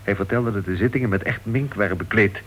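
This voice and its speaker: A man's voice: fairly low pitch, fast talker, lightly acted. An actor playing a well-meaning, persistent clubman in a Dutch radio play, questioning and coaxing like a concerned friend. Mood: puzzled. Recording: dull old radio recording, faint noise.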